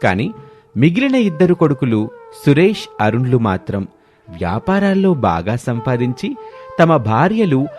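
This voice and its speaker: A man's voice: mid-range voice, sing-song, a little slow, darkish tone. A man reading scripted narration of a moral story as the storyteller. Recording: music behind the voice. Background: background music.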